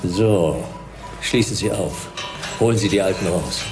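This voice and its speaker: A self-assured, sardonic detective, in a radio crime drama. The delivery is dry and measured, at an ordinary male pitch or a little under, with clear music behind it. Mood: cool, commanding.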